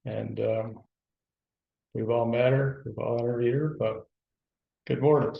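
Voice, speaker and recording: A man leading a town board meeting, talking haltingly with many restarts. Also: mid-range voice, fairly even intonation, creaky. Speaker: presiding board trustee. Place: town hall meeting room. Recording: dull lo-fi meeting recording.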